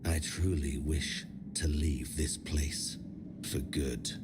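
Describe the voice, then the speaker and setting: An actor playing a weary, haunted lone survivor in a dark fantasy game, brooding and theatrical, with hushed, breathy phrase endings. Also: male voice, a bass voice, steady tone, slow pace, heavy background noise. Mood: haunted, resigned.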